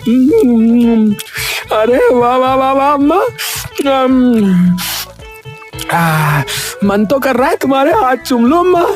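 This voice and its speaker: A woman's voice: high voice, animated, slow pace, faint music behind. A woman voicing a greedy, chatty, cheerfully pushy village man in a cartoon story, full of folksy exclamations. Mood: delighted. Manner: folksy, exclamatory, repeats himself. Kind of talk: Hindi cartoon story acting.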